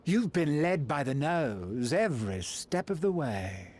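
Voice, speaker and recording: An actor playing a flamboyant, cruel villain lord in a video game, haughty and mocking in a theatrical way. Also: male voice, on the high side, big pitch swings, a little slow. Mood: smug, mocking. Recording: loud music behind. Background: game music.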